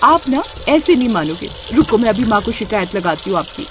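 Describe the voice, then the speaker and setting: A woman voicing an outspoken, protective young sister-in-law in a cartoon story, brisk, scolding and emphatic. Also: fairly high pitch, sing-song, noisy background. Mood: bossy care.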